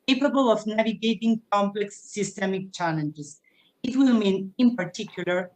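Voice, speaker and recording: A mid-pitched woman from Uruguay, the expert panelist reading her prepared part at an online conference. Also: poor audio. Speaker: task team member, sustainable food systems expert. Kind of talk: prepared presentation at an online event.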